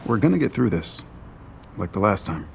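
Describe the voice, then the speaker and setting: An actor playing a steady, supportive male friend in a naturalistic romantic-comedy audio drama. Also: lowish voice, normal speed, vocal fry, noisy background, heavily acted. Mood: tender, wistful.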